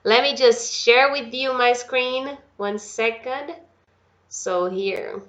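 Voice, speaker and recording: A woman's voice: fairly high pitch, slow, a bit bright, muffled recording. A woman teaching English with a Brazilian Portuguese accent, reading a children's book aloud and explaining it, her voice affected by a cold. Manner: chatty, switches between reading and explaining, drills pronunciation. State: has a cold.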